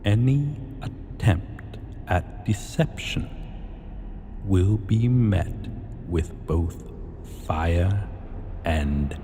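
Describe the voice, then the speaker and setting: In a long cavernous reverb, a man plays an arrogant, possessive dragon king for a fantasy roleplay. The voice is low and dark, with pompous, archaic phrasing that is drawn out very slowly. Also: background noise, full character voice. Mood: pleased, menacing. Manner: pompous, deliberate, archaic formal diction. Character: arrogant, possessive male dragon king, ancient hoard-keeper. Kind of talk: scripted fantasy ASMR roleplay.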